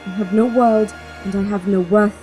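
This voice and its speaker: A woman's voice: mid-range voice, steady tone, normal speed, mellow, faint music behind, close to an everyday voice. A woman playing a grieving young princess in an audio drama, bitter, blunt and bleak. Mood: bitter despair.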